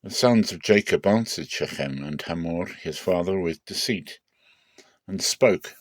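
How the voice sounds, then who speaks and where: Male scripture narrator, low voice, solemn and deliberate, dry close sound. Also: dry recording. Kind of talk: dramatic scripture reading.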